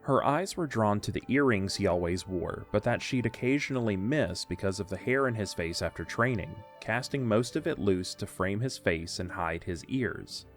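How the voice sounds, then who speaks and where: A man's voice: lowish voice, lively intonation, moderate pace, hardly any pauses, faint music behind. A man reading scripted narration for an audio drama, telling a story in the third person.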